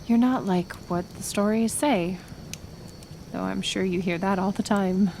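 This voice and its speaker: A woman playing a kind, stubborn healer of the woods in an intimate roleplay audio, speaking softly and hesitantly, with soothing pauses. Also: mid-range voice, steady tone, vocal fry, slight background noise. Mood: earnest, confiding. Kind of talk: roleplay audio acting, intimate one-sided dialogue.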